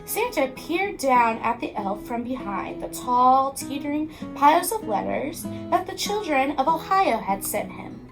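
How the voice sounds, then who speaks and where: An American woman, a teacher, reading a children's storybook aloud with expressive narration. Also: high-pitched, measured pace, creaky, hardly any pauses, over music, low-quality recording.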